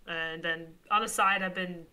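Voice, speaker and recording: A woman's voice: fairly low pitch, fairly even intonation, normal speed, sharp tone, clean tone. A woman guest on a casual podcast video call, talking in rambling, filler-heavy bursts. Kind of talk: casual chat.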